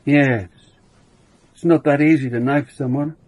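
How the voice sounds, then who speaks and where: An actor playing a world-weary, middle-aged police inspector, narrating his case in a measured, dry, reflective radio drama style. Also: mid-range voice, steady tone, no echo, muffled recording, natural voice. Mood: brisk, businesslike. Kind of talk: radio drama, first-person detective narration.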